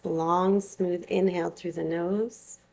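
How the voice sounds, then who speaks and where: A woman teaching yoga nidra, guiding a relaxation with soothing, unhurried phrasing and long pauses. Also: lowish voice, fairly even intonation, gravelly, warm tone. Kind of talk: guided meditation, gentle instruction.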